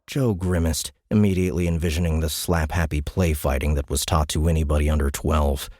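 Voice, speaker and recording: A man with a deep voice reads a science fiction story as an audiobook narrator. His delivery is scripted and dramatic. The sound is dry, with hardly any room around it. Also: fairly even intonation, a little fast, darkish tone, no echo.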